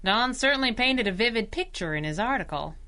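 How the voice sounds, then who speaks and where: A sassy young chauffeur sidekick in a vintage-style radio adventure. Her voice sits fairly high with a bright, forceful edge. She teases wryly and swings expressively across her range. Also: expressive. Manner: wry, sassy, brisk. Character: plucky, teasing young lady chauffeur sidekick. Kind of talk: 1930s-style radio drama acting.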